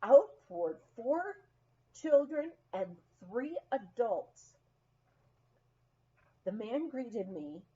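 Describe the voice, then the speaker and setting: A woman narrates a light memoir with lively, swooping intonation and a fairly high pitch. She takes her time like a storyteller reading an audiobook. The recording is slightly dull. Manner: expressive, unhurried storytelling with acted quotes. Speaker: audiobook reader. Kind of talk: scripted narration of a humorous memoir.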